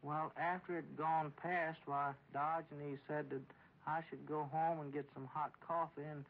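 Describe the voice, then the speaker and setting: Meek, high-voiced Southern townsman. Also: little pitch movement, measured pace, vocal fry. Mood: earnest, meek. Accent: rural Southern US. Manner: deferential, literal, hesitant. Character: meek, dutiful, simple small-town man reporting earnestly. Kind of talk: 1940s radio comedy acting. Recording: muffled old radio recording.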